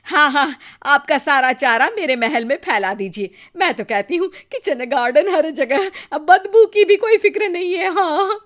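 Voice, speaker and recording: A high-voiced, envious rich neighbour woman from a moral storybook, performed by a woman. She is greedy and scornful, with a nosy, exclamatory delivery. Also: a little slow, hardly any pauses. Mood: eager, greedy. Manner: exclamatory, nosy, mock-admiring. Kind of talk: Hindi moral storybook acting.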